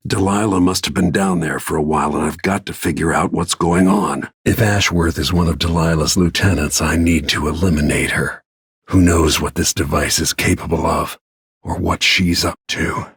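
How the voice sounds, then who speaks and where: An actor playing a grim, weary middle-aged bodyguard turned assassin in a video game, speaking tersely with a gravelly, husky voice. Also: male voice, deep voice, fairly even intonation, moderate pace, fairly bright tone, dry recording, clean studio sound, full character voice. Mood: grim, brooding. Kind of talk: scripted game voice lines, short dramatic remarks.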